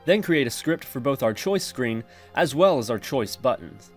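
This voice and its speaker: A man narrating a software tutorial in an instructional, step-by-step manner. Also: mid-range voice, animated, moderate pace, faint music behind. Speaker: tutorial presenter.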